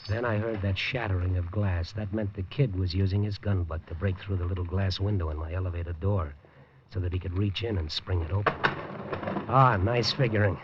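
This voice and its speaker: A man's voice: low-pitched, fairly quick, heavy background noise, close to an everyday voice. An actor playing a hard-boiled male newspaper reporter, narrating dryly and tersely with wisecracks in a 1950s radio drama. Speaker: lead narrator. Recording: dull 1950s radio broadcast.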